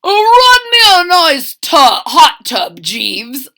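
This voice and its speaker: A woman's voice: high voice, huge pitch swings, drawn-out words, bright, forceful tone, pitched above the performer's own voice, exaggerated voice. A woman voicing a smug male crocodile-catching villain in a storybook, bossy and gloating as he gives orders. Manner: commanding, gloating.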